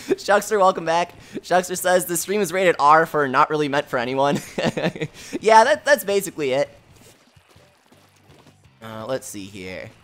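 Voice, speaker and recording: Young guy streamer, fairly high lively voice, close mic, game music faint behind. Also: measured pace, pauses often. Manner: upbeat, reads chat aloud with frequent laughs. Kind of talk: live stream chat reading.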